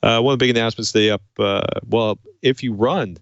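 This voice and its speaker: A man's voice: medium pitch, fast talker, no echo, dull audio. A man hosting a tech podcast, talking in a conversational, emphatic way. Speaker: podcast host. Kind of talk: casual tech podcast talk and host-read ad.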